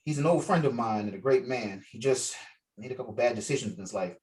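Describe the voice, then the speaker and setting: Fatherly martial arts mentor, read by a man, medium pitch, heard off-mic on a video call. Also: fast talker, a little mellow, distant mic, natural voice, rough recording. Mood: reassuring. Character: martial arts master calling his young student, fatherly. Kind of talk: script table read.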